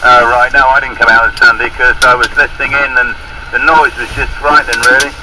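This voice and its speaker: A man's voice: mid-range voice, flat delivery, brisk. A man in a casual chat, calling in over a two-way radio. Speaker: amateur radio caller. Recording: very noisy, distant two-way radio transmission.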